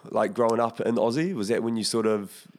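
A man's voice: on the low side, fairly quick, darkish tone. A man with a New Zealand accent, hosting a podcast in a casual, laid-back and slangy way. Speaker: podcast host. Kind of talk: casual interview chat.

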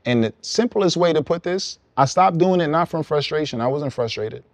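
A young man from Brooklyn, a songwriter guest, explaining his path in relaxed, reflective casual conversation. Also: a little high, normal speed, no room sound. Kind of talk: casual podcast chat.

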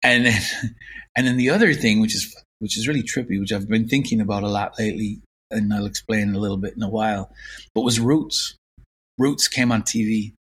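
A man in his fifties with a Limerick Irish accent, recalling his past as an interview guest in an unhurried, reflective way. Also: fairly low pitch, fairly even intonation, a bit bright, breathy edge, poor audio. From Ireland. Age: 50s. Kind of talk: casual interview.

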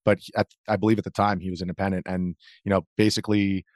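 A man with a fairly low voice talks quickly as an interview guest. His account is casual and matter-of-fact, recorded dry and close. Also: little pitch movement, no room sound. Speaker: interview guest, video journalist. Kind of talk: casual first-hand recounting.

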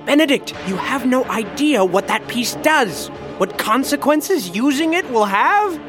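An imperious mage from a fantasy audio drama. The delivery is theatrical and condescending, with a lively, swooping pitch. A dry, grudging temper runs through it. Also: male voice, a little slow, over music. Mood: urgent. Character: haughty, grudging tabletop gamer playing an imperious mage.

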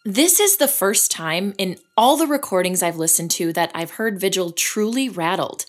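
A woman hosting and narrating an audio drama in a measured storytelling manner. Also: mid-range voice, expressive. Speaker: narrator and host. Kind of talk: scripted narration.

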